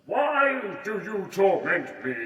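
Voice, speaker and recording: A man's voice: high voice, big pitch swings, slow pace, bright, forceful tone, full character voice. A man playing a mad, vain, forgetful old king in a comic audio drama, rambling and pompous. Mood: petulant, distracted. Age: older. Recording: echoey hall, far from the mic.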